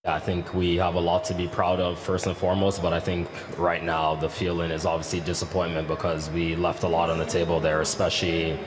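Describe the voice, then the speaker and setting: A man from a football team giving a measured, reflective post-match interview. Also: sits low, monotone, background noise. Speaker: football player or coach.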